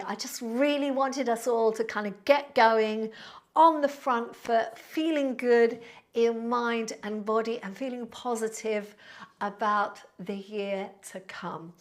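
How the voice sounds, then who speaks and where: A woman of sixty, a Pilates instructor chatting on a live stream, thanking viewers by name in a friendly, rambling way with fillers. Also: fairly high pitch. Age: 60s. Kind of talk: live-stream chat reading out viewer comments.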